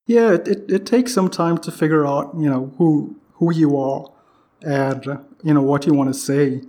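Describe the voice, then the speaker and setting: The host of a laid-back interview podcast, a man with a lightly raised pitch, chatting informally with his guest. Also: normal speed, dark tone. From Trinidad. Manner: informal, peppered with "you know". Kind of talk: casual conversational interview.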